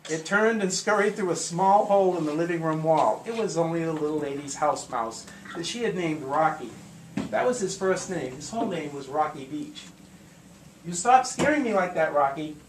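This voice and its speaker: Male storyteller, fairly high-pitched, theatrical, deliberate, playful, echoey room, noticeable background noise. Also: animated. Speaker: live storyteller. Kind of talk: dramatic spoken storytelling to a live group. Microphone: small consumer video camera in the room. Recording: echoey noisy room, consumer camcorder audio.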